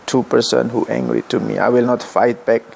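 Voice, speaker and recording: A middle-aged man from Indonesia speaking English with an Indonesian accent, addressing his vlog viewers in slow, halting sentences full of pauses and self-corrections. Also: mid-range voice, creaky, slight background noise. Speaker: vlogger. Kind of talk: direct-to-camera vlog address.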